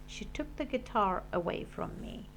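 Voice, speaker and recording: A woman's voice: medium pitch, lively intonation, normal speed, a bit bright, slight background noise, poor audio. A woman reading a children's story aloud expressively, like a class teacher.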